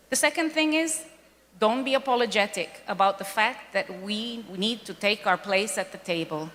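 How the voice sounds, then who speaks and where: A woman's voice: mid-range voice, fairly bright tone. A woman from Kenya speaking earnestly on a panel, pressing her points and repeating them for emphasis. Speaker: panel speaker, foundation president. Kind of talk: speech at a panel event. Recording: long reverb of a large hall.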